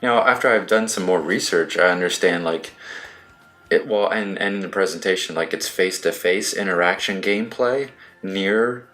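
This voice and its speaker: A man's voice: low voice, moderate pace, bright, forceful tone, faint music behind. A man co-hosting a podcast, giving his opinions in casual conversation about games. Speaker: podcast co-host. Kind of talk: casual chat, video game discussion.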